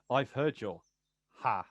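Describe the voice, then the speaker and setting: A man's voice: mid-range voice, gravelly, no room sound, clean studio sound, heavily acted. Hesitant, wry male poet, played by an actor. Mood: amused, gentle. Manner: questioning, stammering when unsure. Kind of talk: stage play acting, quiet two-hander.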